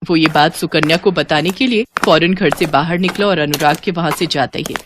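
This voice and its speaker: A woman's voice: deep voice, a little fast, background noise. A woman reading scripted narration as the narrator of a story.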